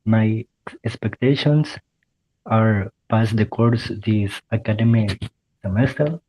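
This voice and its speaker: A man's voice: on the low side, slow pace, mellow, rough recording. A man in his twenties from El Salvador introducing himself plainly to his English class. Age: 20s. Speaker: student.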